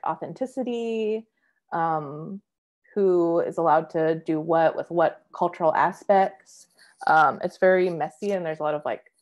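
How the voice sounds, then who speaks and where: A young woman speaking from home over a video call, pausing often and filling gaps while she works out her thoughts. Her voice sits a little low for a woman, and her talk is loose and conversational. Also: darkish tone. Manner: hesitant, many fillers, thinking aloud. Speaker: comics creator guest. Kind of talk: casual panel conversation. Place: home room, indoors. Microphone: video call webcam.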